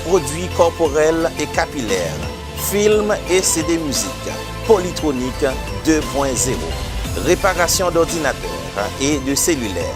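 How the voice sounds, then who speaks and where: A man reading a scripted commercial in a punchy, promotional tone, rattling off lists of services. His voice sits a little high for a man, over a clear music bed. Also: very animated, measured pace. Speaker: ad announcer. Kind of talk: scripted radio ad read.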